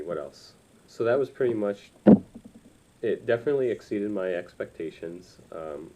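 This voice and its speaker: A man's voice: low-pitched, very noisy. A man in his twenties chatting casually to camera in a hesitant, rambling, informal way as he gives a review. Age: 20s. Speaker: reviewer of audio gear. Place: small room indoors.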